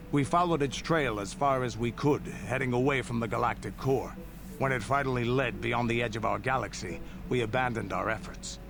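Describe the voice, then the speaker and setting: A man voices a gruff old-soldier game character, an alien warrior proud of his battles. His voice is gravelly and creaky, and he tells his tales in a deliberate, boastful way, with clear noise in the recording. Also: medium pitch, close to an everyday voice. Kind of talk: dramatic scripted video game dialogue. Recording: game audio under steady noise.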